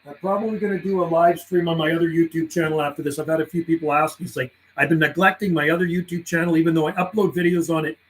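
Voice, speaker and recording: A man's voice: a little high, steady tone, fast talker, few pauses, roomy sound, low-quality recording. A man chatting casually and slangily on a livestream as a radio operator, mixing in call signs and phonetic codes. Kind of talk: casual livestream chat mixed with radio calling. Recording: clear background noise.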